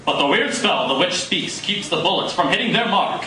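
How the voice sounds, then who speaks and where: A man's voice: high voice, lively intonation, moderate pace, over loud music. A man narrating dramatically from a script in the style of a radio serial, at a live performance. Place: live coffee house performance. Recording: echoey live coffee house, distant, noisy.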